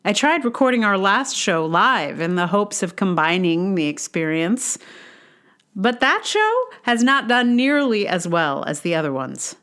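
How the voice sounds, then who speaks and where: A woman hosting a podcast, reading her own personal essay aloud in a measured, deliberate way with casual asides. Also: medium pitch, very animated, fairly bright tone. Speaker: podcast host and writer.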